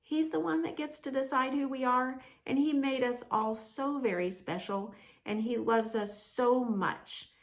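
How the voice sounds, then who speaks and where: A woman storyteller for a children's ministry, gently narrating a storybook and talking to young listeners. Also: high voice, fairly even intonation, unhurried.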